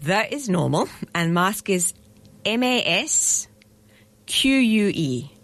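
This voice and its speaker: A middle-aged woman from South Africa, guest on a radio interview as a festival director. She speaks at an unhurried pace in a middle pitch, measured and articulate, taking care over each word. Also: very animated, a bit bright. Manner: measured, articulate, spells words out carefully.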